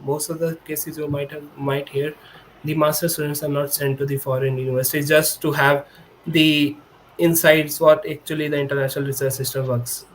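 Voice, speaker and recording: A man's voice: on the high side, flat delivery, moderate pace, slight background noise, rough recording. A young man in his twenties whose first language is Hindi, speaking on a video call as a podcast guest and explaining things earnestly, often correcting himself mid-sentence. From India. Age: 20s. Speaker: guest, PhD student. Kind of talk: remote podcast interview. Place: indoor room with a high-backed chair.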